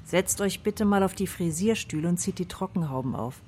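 An actress playing a secretive, bossy but kindly salon woman in a children's radio play, giving brisk, conspiratorial instructions. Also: on the low side, lively intonation, background noise, lightly acted.

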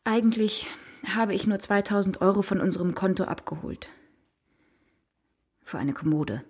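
A woman's voice: mid-range voice, expressive, moderate pace, darkish tone, breathy edge. An actress playing an evasive, guilty mother in a children's radio play, speaking hesitantly and coaxingly. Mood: defensive, wistful. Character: evasive, guilty mother confessing to her husband.

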